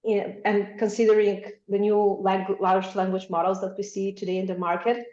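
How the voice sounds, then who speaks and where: A woman's voice: mid-range voice, level tone, moderate pace, warm tone. A woman with a Macedonian accent, a podcast guest answering at length with many ums and uhs. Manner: thoughtful, many ums and uhs, light laughs. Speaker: guest, machine translation specialist. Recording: roomy, dull lo-fi remote sound.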